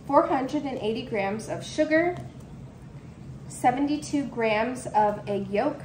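A woman's voice: a little high, darkish tone. A young woman in her home kitchen, presenting a cook-along baking tutorial and reading out measurements step by step. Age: young adult. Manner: reads measurements off steadily, step by step. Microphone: camera in front at waist distance. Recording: echoey kitchen with background noise.

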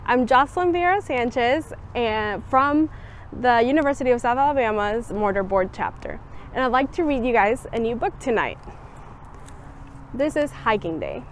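A woman's voice: a little high, normal speed, slight background noise. Young woman reading a picture book aloud. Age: young adult. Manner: expressive storybook reading with lively voiced quotes. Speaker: student volunteer reader. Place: outdoors on a campus bench.

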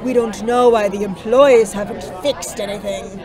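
A woman playing a panicky, theatrical opera diva with a shrill voice in an audio drama. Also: fairly even intonation, moderate pace, natural voice. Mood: panicked. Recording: crowd commotion behind.

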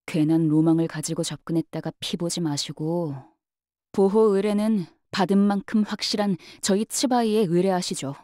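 A woman voicing a brisk young female knight-bodyguard in a game, polite but curt, with a faintly sardonic, matter-of-fact delivery. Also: on the low side, fairly even intonation, warm tone, no echo, clean studio sound, close to an everyday voice. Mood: confident, wry. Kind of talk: scripted game character voice lines.

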